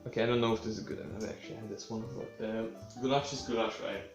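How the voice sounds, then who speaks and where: A man's voice: on the low side, fairly even intonation, very fast, muffled recording. Casting: young guy, sniffly cold, rambling and crude, recorded from a distance in a very echoey kitchen. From Sweden. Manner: rambling, offhand, swears casually. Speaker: home cooking video host. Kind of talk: casual cooking demonstration. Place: home kitchen. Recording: echoey room, mic at a distance, faint music.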